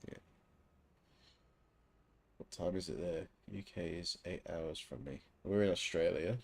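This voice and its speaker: A man's voice: deep voice, unhurried, gravelly. A man from England with an English accent, chatting casually as a live streamer while gaming, teasing and swearing casually.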